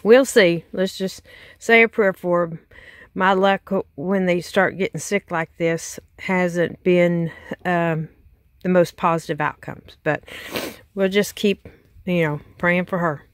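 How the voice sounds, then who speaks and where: A woman's voice: lowish voice, steady tone, takes it slowly, no room sound, clean studio sound. Folksy Southern woman vlogging on her farm. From Texas. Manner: chatty, folksy, many fillers and restarts. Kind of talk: casual vlog narration. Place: outdoors on a rural farm, by a chicken pen. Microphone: handheld phone camera.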